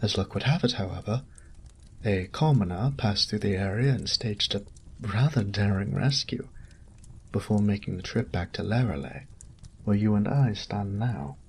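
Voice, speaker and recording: A man's voice: fairly low pitch, lively intonation, normal speed, slightly rough, full character voice. A man voicing a courteous noble knight captain in a fantasy audio roleplay, speaking in formal, polished phrases and politely probing.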